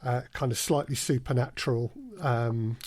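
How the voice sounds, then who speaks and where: A man's voice: medium pitch, fairly even intonation, moderate pace, a little mellow, dry recording. A man talking conversationally as an interview guest, explaining his work through anecdotes. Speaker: podcast guest, audio drama producer.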